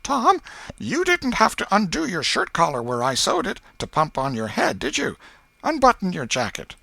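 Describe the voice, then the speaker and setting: A man acts out a fretful old Southern aunt from a storybook, pitched well up and swooping widely. She is folksy and exclamatory, a pious scold with a soft heart, and the sound is bright and forward. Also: huge pitch swings, moderate pace, gravelly, no echo, heavily acted. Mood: sly questioning. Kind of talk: audiobook character voice.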